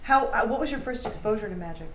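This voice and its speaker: Quick, teasing woman host. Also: fairly high pitch, lively intonation, roomy sound, a little hiss or hum. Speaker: podcast host. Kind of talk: casual podcast conversation.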